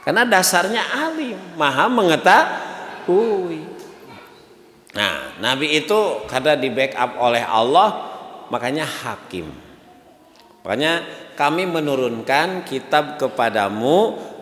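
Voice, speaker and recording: A man with a Sundanese accent giving a lively, joking mosque lesson, chanting Arabic verses slowly between his explanations. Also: a little high, a little hiss or hum. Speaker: ustadz teaching a mosque study class. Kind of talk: sermon. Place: large mosque hall. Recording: echoey mosque hall.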